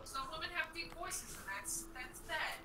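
A woman's voice: very high voice, moderate pace, heavy background noise. A young woman chatting casually with a friend, blunt and playfully combative, swearing freely. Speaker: co-streamer friend. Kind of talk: casual chat over call.

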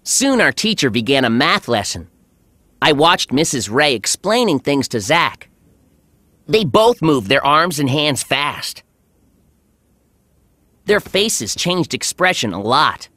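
A performer playing an earnest, friendly schoolboy who narrates a children's animated story in a measured, clearly enunciated way. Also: medium pitch, dry recording, heavily acted. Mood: earnest storytelling.

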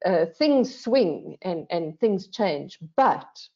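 A woman's voice: on the low side, very animated, drawn-out words, creaky, warm tone. An older woman speaking as a panel guest, earnest and emphatic, often posing questions to herself and adding "right?". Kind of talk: reflective discussion. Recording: dull lo-fi video call audio.

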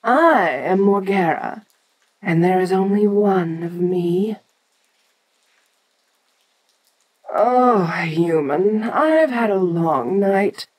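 A woman's voice: mid-range voice, lively intonation, takes it slowly, low-quality recording. A woman playing an ancient, domineering giant kaiju queen in an audio roleplay, speaking with imperious condescension. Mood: weary, irritated. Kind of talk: scripted audio roleplay addressed to the listener.

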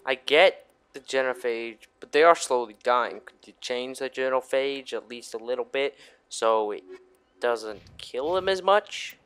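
A man's voice: mid-range voice, measured pace, fairly bright tone, clean tone. A man giving casual, goofy commentary as he plays a video game. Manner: goofy, informal. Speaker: gaming video host.

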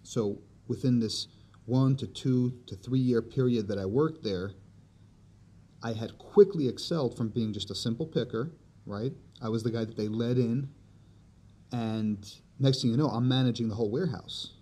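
Mellow-voiced man telling his story. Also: mid-range voice, normal speed, husky. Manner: conversational, tag questions like "right?". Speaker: podcast host. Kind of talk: personal storytelling monologue.

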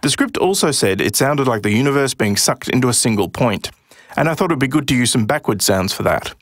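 A man's voice: mid-range voice, animated, brisk, no echo, studio quality. A middle-aged man narrating a scripted explainer as a sound designer.

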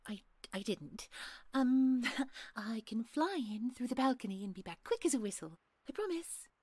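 A genteel young lady played by a woman speaks in a highish, breathy voice with lively swoops. She is sweet, polite and a little flustered, as in a fantasy audio drama. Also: normal speed, no room sound. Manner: bubbly, hesitant, genteel. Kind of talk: fantasy audio roleplay acting.

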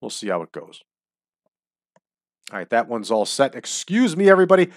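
A man's voice: mid-range voice, very animated, normal speed, no echo, studio quality. A man commenting casually on a wrestling management game at home, chatty and opinionated, his nose stuffed up from allergies. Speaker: let's-play host.

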